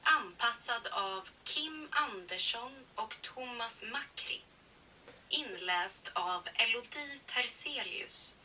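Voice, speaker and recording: Lady narrating an audiobook real slow, sounds far from the mic, bit of hiss. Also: a little high, expressive, sharp tone, breathy edge. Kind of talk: scripted audiobook credits reading in Swedish. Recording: hissy, distant playback from an app.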